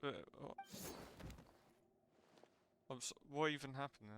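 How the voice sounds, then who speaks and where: A man giving casual live commentary on a game, halting as he thinks aloud. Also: mid-range voice, steady tone, background noise. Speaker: game streamer. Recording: game music behind the voice. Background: video game music.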